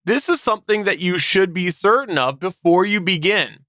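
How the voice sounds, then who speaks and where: A man's voice: high voice, animated, moderate pace, bright, forceful tone, dry recording, studio quality. A man co-hosting a podcast, delivering scripted advice. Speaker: podcast co-host.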